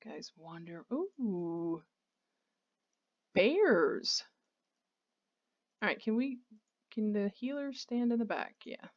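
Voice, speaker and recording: A woman's voice: mid-range voice, big pitch swings, slow, long pauses, muffled recording. A woman giving casual let's play commentary on a video game, rambling and thinking aloud as she plays.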